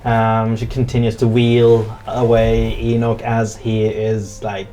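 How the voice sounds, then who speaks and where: A game master improvising narration for a tabletop roleplay in a halting, hesitant way. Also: medium pitch, monotone, warm tone, faint music behind, rough recording.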